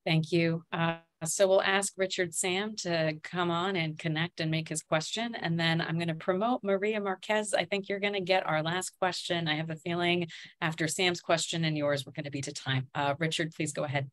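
A young woman moderating a webinar on a video call, brisk and organized. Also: on the low side, fairly even intonation.

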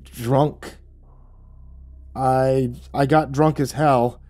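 A man's voice: mid-range voice, steady tone, drawn-out words, a little mellow, voice pushed up. A man voicing a weary, sardonic male hero who talks back defiantly in an audiobook. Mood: sour, defiant. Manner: muttering, defiant.

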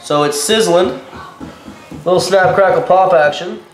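A man's voice: high voice, measured pace, soft background music. A man in his thirties with a head cold, talking indignantly to the camera in his home kitchen as a vlogger. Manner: indignant, warning tone. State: stuffed up with a cold. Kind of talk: casual home vlog. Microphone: camera mic at a short distance. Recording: echoey kitchen, faint noise.

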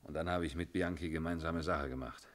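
A man's voice: rumbling low, fairly even intonation, brisk. An actor playing a guarded, cheeky barman under police questioning in a German radio crime drama, explaining in short bursts. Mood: resigned, confessing.

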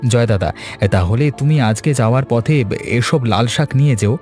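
A man's voice: mid-range voice, moderate pace, a little mellow, lightly acted. A man voicing a helpful, kindly young village fisherman in a cartoon story. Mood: friendly. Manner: reassuring, friendly. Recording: music bed behind.

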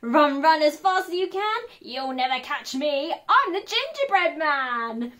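Woman as a cheeky, high-pitched gingerbread man. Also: big pitch swings, measured pace, heavily acted. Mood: gleeful taunting. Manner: sing-song, shouted taunts and cries.

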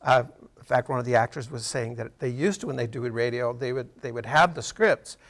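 Montana guy in his 60s, american accent, gravelly medium voice, explaining stuff casually in an interview. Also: mid-range voice, fairly quick. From Montana, United States. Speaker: interview guest, theater writer and director. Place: TV studio.

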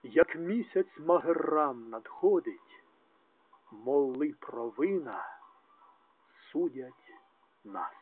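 A man giving a dramatic, declamatory poetry recitation, swinging between loud and hushed delivery, on a tinny, narrow-band recording. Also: on the high side, lively intonation, extremely slow, long pauses, a little hiss or hum. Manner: declamatory, with strong rises and drops in loudness. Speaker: translator reading own poetry translations. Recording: tinny, narrow phone-like sound.

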